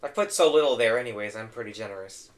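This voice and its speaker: A young man hosting a casual tier-list ranking, his voice going hoarse, opinionated and trailing off into low mumbles. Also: quick pace. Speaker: host.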